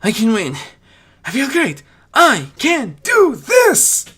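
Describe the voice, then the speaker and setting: A little young dragon hero, played by a man speaks in a raised, bright voice. He is earnest and easily frightened, yet he pushes himself to be brave in a fantasy story. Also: very high voice, wildly swooping pitch, slow pace, slightly rough, voice pushed up, exaggerated voice. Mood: fired up. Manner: stammering, earnest self-encouragement. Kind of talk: dramatic audiobook character voice.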